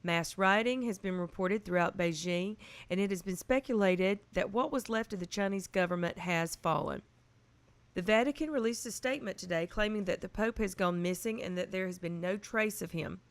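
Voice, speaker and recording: A woman playing a sober radio newsreader, giving a formal, measured and impersonal bulletin in an audio drama. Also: medium pitch, lightly acted. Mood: grave, factual. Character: sober female radio newsreader reporting a global collapse.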